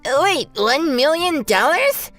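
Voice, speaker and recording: A woman's voice: sits high, big pitch swings, takes it slowly, fairly bright tone, faint music behind, exaggerated voice. A woman voicing a mocking, bragging rich schoolboy bully in a manga dub. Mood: shocked. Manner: mocking, smug.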